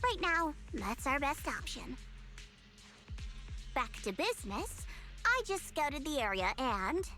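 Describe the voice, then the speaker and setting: A woman's voice: high-pitched, sing-song, slow pace, pauses often, pushed and bright, faint music behind, heavily acted. A woman voicing a proud, cool-headed young female investigator in an anime-style game, self-assured and aloof. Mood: musing.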